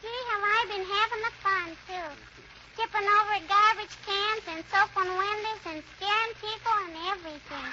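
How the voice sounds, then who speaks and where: Pesky little girl, tiny high voice, impish and nosy, vintage radio comedy, muffled noisy recording. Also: fairly even intonation, moderate pace, pushed and bright, exaggerated voice. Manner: childlike questioning and pleading, frequent "hmm?". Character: mischievous, pestering, inquisitive little girl. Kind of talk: 1940s radio comedy acting.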